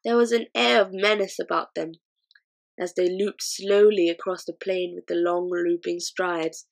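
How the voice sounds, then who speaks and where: A woman narrating a children's storybook, measured and expressive. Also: fairly low pitch, vocal fry. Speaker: audiobook reader.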